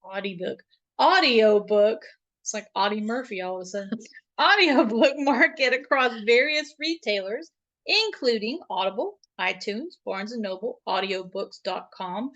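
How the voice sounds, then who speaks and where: A woman's voice: a little high, lively intonation, unhurried, a bit bright. A woman co-hosting a casual livestream, chatty and informal, reading out introductions with playful asides. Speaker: co-host.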